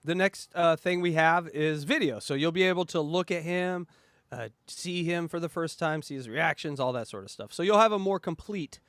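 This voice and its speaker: A fairly high-voiced man hosting a casual reaction podcast. He rambles loosely and swears freely, and his voice sounds dry and close with no room around it. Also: moderate pace, fairly bright tone, clear, resonant tone, no echo. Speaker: podcast host.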